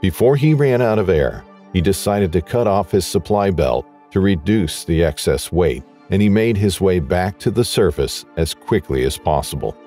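Male documentary narrator, very low voice, steady measured read, soft music underneath.

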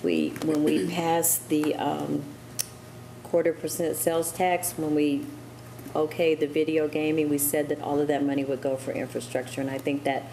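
A middle-aged woman alderman speaks at a city council meeting. Her voice sits low and dark with little rise or fall. She talks in a measured, deliberate way into a desk microphone in the chamber. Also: little pitch movement, vocal fry, slight background noise, poor audio.

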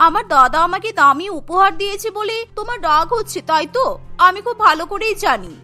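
A woman's voice: very high-pitched, very animated, a little fast, bright, forceful tone, faint music behind, heavily acted. A woman voicing a spoiled, haughty young sister-in-law in a cartoon, snippy and demanding. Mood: angry, threatening.

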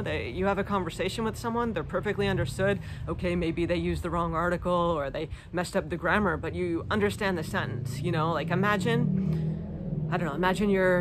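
American woman chatting casually over outdoor noise. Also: on the low side. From United States. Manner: informal, self-deprecating, conversational. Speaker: vlogger. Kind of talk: casual chat answering viewer questions. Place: outdoors in a leafy garden. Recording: clear outdoor background noise, garden ambience.

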